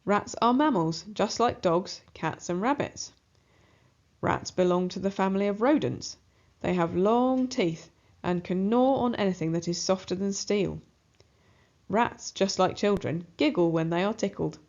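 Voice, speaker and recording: A woman narrating a children's book aloud in an expressive storybook style. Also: mid-range voice, a little slow. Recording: slightly dull, muffled recording.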